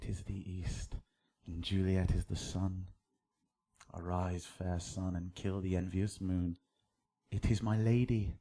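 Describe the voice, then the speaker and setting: An actor playing an ardent young romantic lover, delivering measured Shakespearean verse in a radio drama. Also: male voice, sits low, flat delivery, dark tone, full character voice. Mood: adoring.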